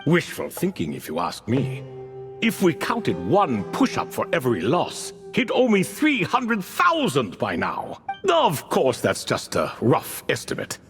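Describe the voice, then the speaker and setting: A man voicing a wry, resigned, proudly undefeated desert man in a video game, speaking in a measured, theatrical way. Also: on the high side, huge pitch swings, music in the background. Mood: wry, boastful.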